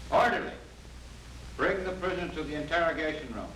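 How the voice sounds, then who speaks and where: An actor playing a cold, commanding secret police officer who gives clipped orders in a 1940s film. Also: male voice, fairly high pitch, brisk, fairly bright tone, close to an everyday voice. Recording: hissy, noisy old 1940s film soundtrack.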